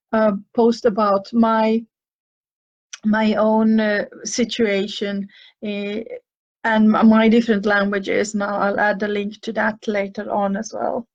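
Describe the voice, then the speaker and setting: Woman, older, slight cough, thoughtful, hesitant, many fillers, fairly high voice, muffled audio. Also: darkish tone. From Finland. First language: Finnish and Swedish. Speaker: host answering listener questions. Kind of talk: advice talk answering questions.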